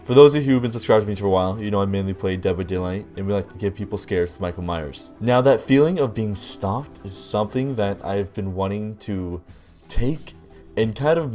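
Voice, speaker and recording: Man, low-pitched voice, explanatory devlog narration, talking straight to viewers, background music. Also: a little mellow. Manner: addresses viewers directly, explanatory. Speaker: video creator, game developer. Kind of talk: scripted video narration, devlog.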